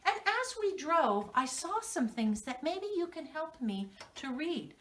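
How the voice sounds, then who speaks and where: Woman teacher with very lively, swooping pitch. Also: a little slow, recorded from a distance. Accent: American. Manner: instructional, question-and-prompt delivery for children. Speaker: preschool teacher. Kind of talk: reading lesson and storytelling for young children.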